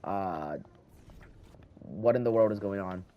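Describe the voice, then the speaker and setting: A man's voice: sits low, takes it slowly, lo-fi sound. A teenage boy with a slight lisp, rambling and thinking aloud while he plays a video game at home, talking into a handheld microphone. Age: teens. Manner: meandering, thinking aloud, frequent restarts. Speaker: gaming channel host. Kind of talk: rambling casual talk over gameplay.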